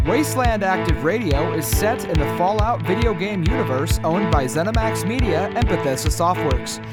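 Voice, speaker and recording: Female credits announcer, slightly low voice, scripted read over prominent music. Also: lively intonation, moderate pace. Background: loud music, country-sounding.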